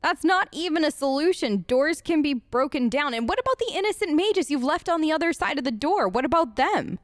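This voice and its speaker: A woman's voice: sits high, expressive, a little fast, no room sound, clean studio sound. A woman doing casual, improvised role-play commentary as host of a game let's play, informal and outspoken.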